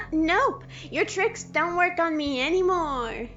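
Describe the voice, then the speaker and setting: Very high, bright monster kid. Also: female voice, takes it slowly, highs cut off, faint music behind, exaggerated voice. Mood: cheeky. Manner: cheeky, excitable. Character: plucky, loyal young monster kid. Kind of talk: audio drama acting.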